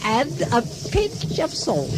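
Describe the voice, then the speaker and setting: Slow chanting witch. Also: high-pitched, wildly swooping pitch, over loud music, full character voice. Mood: ominous chanting. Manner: solemn, incantatory. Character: chanting witch at her cauldron. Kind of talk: comic radio drama, Shakespearean incantation.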